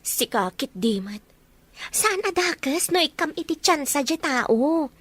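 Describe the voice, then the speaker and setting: A woman's voice: high-pitched, big pitch swings, measured pace, a bit bright, no echo, heavily acted. A woman playing a pushy, teasing young best friend in an audio drama. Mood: coaxing. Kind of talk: Ilocano audio drama acting.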